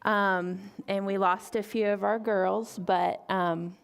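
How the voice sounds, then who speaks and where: Clear, smooth-voiced woman chatting informally. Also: medium pitch, steady tone, slow pace. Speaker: guest chicken keeper and parent. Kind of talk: informal explanatory talk to children. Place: library story time room.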